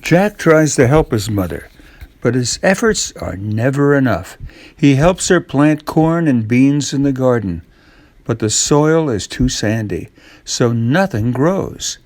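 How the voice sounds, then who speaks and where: An older man narrates a children's storybook. His delivery is slow and unhurried, at a middling male pitch, in a dry recording with very little room around it. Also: a little mellow, dry recording.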